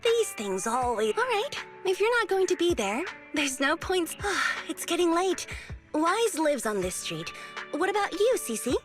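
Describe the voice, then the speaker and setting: Young female starlet, high pitch, animated delivery, poised, teasing, outgoing, video game drama. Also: unhurried, over music, exaggerated voice. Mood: brisk, assured.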